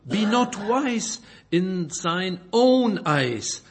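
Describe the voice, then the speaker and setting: A man from Belgium, a native French speaker with a Belgian French accent, preaching and reading scripture in halting English, searching for words and correcting himself. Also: high voice, very animated. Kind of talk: informal Bible sermon with scripture reading.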